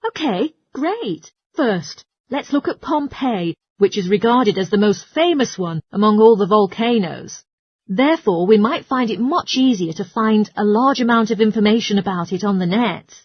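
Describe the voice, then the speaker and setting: A woman's voice: medium pitch, lively intonation, moderate pace, darkish tone, husky, dry recording. A woman playing a helpful, studious geography student in a scripted listening-test dialogue. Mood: helpful, reassuring.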